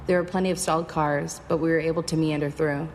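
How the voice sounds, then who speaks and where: A woman's voice: sits low, fairly even intonation, quick pace, darkish tone, slight background noise. An actress playing a resourceful, stubborn woman survivor in an audio drama, narrating and speaking in a terse, matter-of-fact way. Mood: narrating, subdued. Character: resourceful, stubborn female survivor traveling west. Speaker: lead actor and first-person narrator. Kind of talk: audio drama acting with first-person narration.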